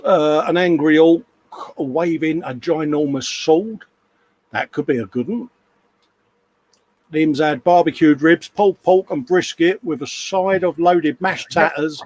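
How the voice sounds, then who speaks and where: Chatty man on a live stream. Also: a little high. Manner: relaxed, chatty, reads out viewer comments. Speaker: co-host of a live hobby stream. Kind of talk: casual chat.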